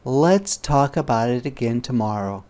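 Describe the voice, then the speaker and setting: A man voicing a calm, fair-minded woman schoolteacher in a storybook. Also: medium pitch, normal speed, natural voice.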